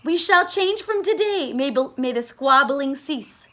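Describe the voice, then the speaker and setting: A woman's voice: high voice, expressive, unhurried, fairly bright tone. A woman voicing a greedy male squirrel in a storybook, exclaiming in an emphatic, dramatic way. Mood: giddy, contrite.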